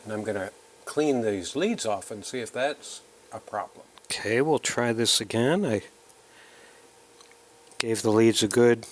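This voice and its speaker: A man narrating a hands-on tutorial in a casual, halting way, thinking aloud as he works. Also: mid-range voice, slight background noise. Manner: unhurried, halting, thinking aloud while working. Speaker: electronics hobbyist presenting a kit build.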